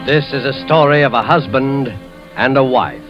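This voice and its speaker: A dramatic American man announces an old radio suspense show. His mid-pitched voice is formal, heard on a muffled recording with soft music beneath. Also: normal speed. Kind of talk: 1940s suspense introduction. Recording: dull vintage radio recording, faint music.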